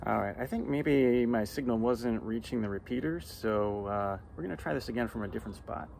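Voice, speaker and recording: A middle-aged American man making amateur radio calls and talking casually to camera outdoors, spelling out call signs deliberately. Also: mid-range voice, creaky, darkish tone. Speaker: amateur radio beginner, vlogger. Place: outdoors in a yard and porch. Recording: outdoor background noise.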